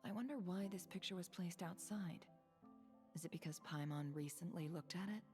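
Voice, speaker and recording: A woman playing a poised, observant video game character who is quietly caring and sly, musing to herself in a composed, deliberate way. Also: on the low side, soft background music, lightly acted. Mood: curious, musing. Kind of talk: animated video game voice acting.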